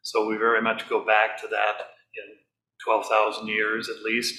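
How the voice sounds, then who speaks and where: A man giving an informal talk to a group, relaxed and halting, with many fillers. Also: fairly low pitch. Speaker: speaker leading a group talk. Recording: echoey room.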